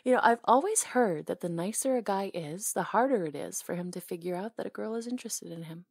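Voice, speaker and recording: A woman playing a flirty, tender salon owner with a crush, speaking softly and intimately in an ASMR romantic roleplay, teasing, pausing and sometimes near a whisper. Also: medium pitch, lively intonation, no echo, studio quality. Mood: earnest, affectionate. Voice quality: soft, breathy, sometimes whispery. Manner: teasing, hesitant pauses, intimate.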